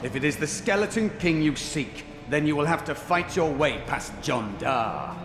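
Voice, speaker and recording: An actor playing a zealous, stalwart warrior of a holy order in a video game, speaking formally and solemnly. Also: male voice, a little high, very animated, normal speed, background noise. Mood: proud, zealous. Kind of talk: dramatic video game acting. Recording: echoey, loud game music behind.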